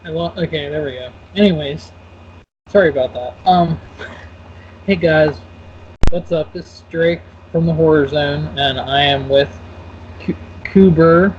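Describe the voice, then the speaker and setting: A man's voice: fairly high pitch, measured pace, pauses often. A man hosting a casual online interview, loose and rambling, with fillers and self-corrections. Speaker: host and interviewer. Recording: dull lo-fi audio with faint noise.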